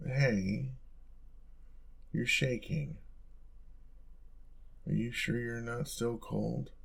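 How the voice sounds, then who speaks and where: A man's voice: mid-range voice, steady tone, very slow, creaky, slightly rough, heavily acted. A young man playing a caring, teasing college roommate in an intimate, casual audio roleplay. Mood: gentle, caring.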